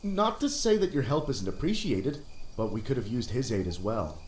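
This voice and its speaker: A stern, earnest video game planner played by a man with a medium-pitched voice. He speaks formally and carefully, with room echo and faint outdoor noise. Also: big pitch swings, normal speed, a little mellow, lightly acted. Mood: serious, disappointed. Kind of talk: video game character dialogue. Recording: roomy echo, faint night ambience noise.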